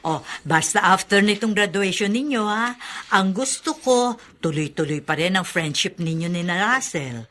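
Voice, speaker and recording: A woman's voice: medium pitch, lively intonation, normal speed, a bit bright, lightly acted. An actress playing a kind, teasing, motherly middle-aged woman in a radio drama. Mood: generous. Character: kindly motherly older woman, generous.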